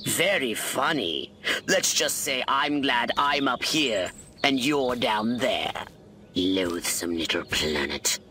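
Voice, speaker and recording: A man's voice: medium pitch, lively intonation, takes it slowly, bright, forceful tone, slight background noise. A man playing a haughty, irritable alien scientist commander in a video game, with pompous, theatrical delivery and precise wording. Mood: haughty, commanding. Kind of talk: animated video game voice acting.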